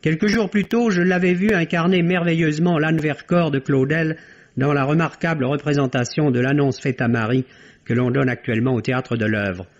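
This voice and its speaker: A man's voice: fairly high pitch, steady tone, moderate pace. A man delivering a scripted film review in a formal, literary, rhetorical manner, as on 1960s French radio. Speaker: film critic on a radio program. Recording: dull, lo-fi vintage radio recording.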